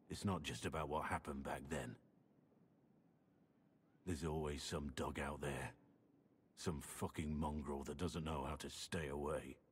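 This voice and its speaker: A man playing a brooding, guilt-ridden warrior in a video game, with a slow, bitter delivery. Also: long reverb tail, heavily acted. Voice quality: very deep, husky. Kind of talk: dramatic video game acting.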